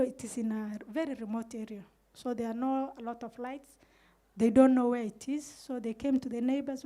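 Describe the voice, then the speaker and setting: Middle-aged Kenyan Somali woman, high-pitched, earnest panelist telling her story, handheld mic indoors. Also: warm tone. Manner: earnest, recounts events with quoted dialogue. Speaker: community radio manager on a panel. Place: indoor room with curtains. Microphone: handheld microphone.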